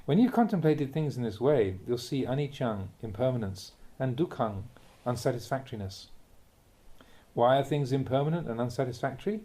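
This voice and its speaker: A man reading a Buddhist teaching aloud in measured, deliberate short phrases, in the manner of a spoken audiobook. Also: mid-range voice, animated, mellow. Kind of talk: read-aloud dharma talk, audiobook narration.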